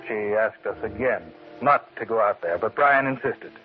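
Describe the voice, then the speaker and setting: A man's voice: on the low side, expressive, normal speed, over music, close to an everyday voice. An actor playing an earnest, uneasy man who narrates and acts in an old-time radio mystery. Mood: grave narration. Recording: muffled, very hissy vintage radio recording.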